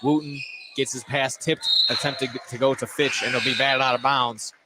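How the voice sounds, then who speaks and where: A man's voice: mid-range voice, steady tone, normal speed. A man with an American accent doing live play-by-play radio commentary of a basketball game. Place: indoor sports gym. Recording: loud gym noise behind. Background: loud game ambience.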